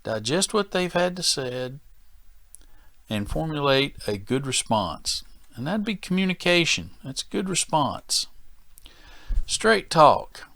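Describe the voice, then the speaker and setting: A man teaching as a safety training instructor in a plain-spoken, deliberate, folksy manner. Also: fairly high pitch, very animated, creaky, bright, forceful tone, no echo. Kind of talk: instructional presentation to workers.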